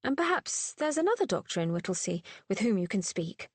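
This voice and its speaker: A woman's voice: medium pitch, very animated, brisk, breathy edge, dry recording. A woman voicing an earnest, kind-hearted young lady who speaks in a pleading way.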